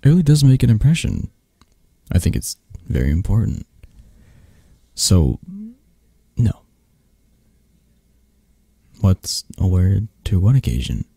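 Man playing a low, mellow, flirty mafia boss lover. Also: huge pitch swings, gravelly, slightly rough, no room sound, full character voice. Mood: relaxed, possessive. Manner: soft, intimate, halting, chuckling, teasing. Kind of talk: ASMR romance roleplay.

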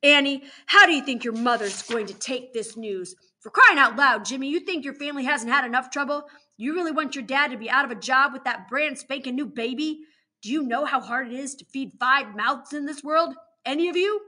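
An indignant male prison warden from a storybook, played by a woman, scolds with hard emphasis. The voice is bright and forceful, pitched fairly high for the part, and full of stern authority. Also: brisk. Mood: disappointed scolding.

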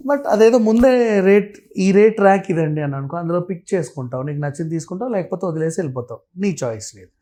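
Man, Telugu accent, slightly high pitch, casual explainer, talkative, English words mixed in. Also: animated, fast talker, few pauses, dark tone. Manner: talkative, explains with everyday examples and lists, mixes in English words.

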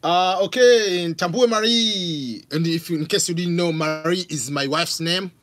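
A man's voice: sits high, measured pace, faint music behind. A man in his forties from Tanzania with a Tanzanian accent, hosting a live question-and-answer stream from a home office on a studio mic, reading out viewer questions. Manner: animated, gestures a lot, reads out questions. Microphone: studio mic on stand with pop filter.

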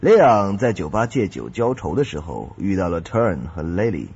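A man with a husky voice reading a dramatic scripted preview as an announcer. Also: deep voice, sing-song, normal speed, darkish tone, soft background music. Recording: dull, muffled audio.

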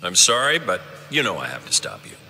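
An actor playing a noble, earnest superhero leader in a video game cutscene, firm and measured. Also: male voice, low-pitched, huge pitch swings, very noisy, full character voice. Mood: earnest resolve.

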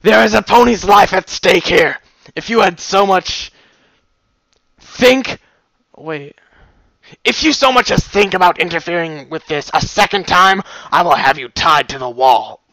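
A man voicing a gruff, commanding older unicorn stallion who scolds sternly, on a dull, lo-fi recording. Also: a little high, moderate pace, pushed and bright, no room sound. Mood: furious.

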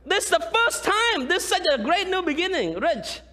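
A man in his thirties, a pastor from Cambodia with a Khmer accent, preaching a lively sermon and often asking the congregation "right?". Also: extremely high pitch, normal speed. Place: large church sanctuary, indoors. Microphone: far camera, room pickup. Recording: long church hall reverb.

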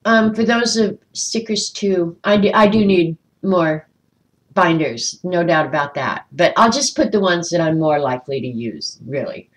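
A woman's voice: lowish voice, lively intonation, unhurried. A woman with a stuffy, congested voice chatting casually to viewers on a live craft stream, rambling with many ums. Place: indoors at a craft desk.

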